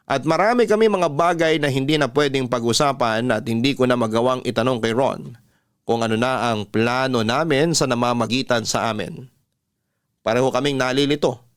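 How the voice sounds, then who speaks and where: A Filipino man narrates an emotional first-person story like a classic radio host. His mid-pitched voice is smooth and clean, with a measured, confessional delivery.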